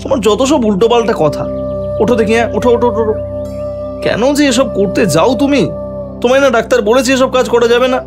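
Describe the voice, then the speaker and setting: A man's voice: very high voice, brisk, over music. A man playing a doting young village husband in an audio drama, fussing and bantering.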